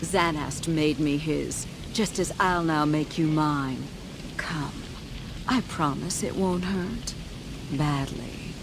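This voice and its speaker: Solemn fantasy game character, dramatic, halting, slow, noisy game capture. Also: female voice, low voice. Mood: seductive, sinister. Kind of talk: video game character acting.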